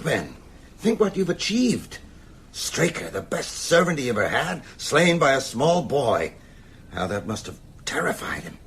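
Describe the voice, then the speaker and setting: A man's voice: medium pitch, a little hiss or hum. An actor playing a bedridden, shrewd man who urges on vampire hunters with emphatic, oratorical speech in a radio drama. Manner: emphatic, rhetorical, oratorical delivery. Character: bedridden male vampire hunter, shrewd, commanding, urgent strategist.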